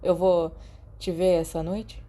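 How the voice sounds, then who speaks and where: A woman with a Brazilian accent voicing an earnest, awkward teenage boy in an audiobook, halting and agreeable. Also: fairly low pitch, little pitch movement, mellow. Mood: agreeable, unsure. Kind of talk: audiobook character dialogue.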